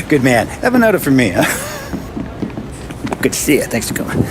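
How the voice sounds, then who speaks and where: An actor playing a genial, glad-handing host in a crime video game, amid clear noise. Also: male voice, mid-range voice, sing-song, moderate pace, lightly acted. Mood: jovial. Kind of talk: cinematic video game acting.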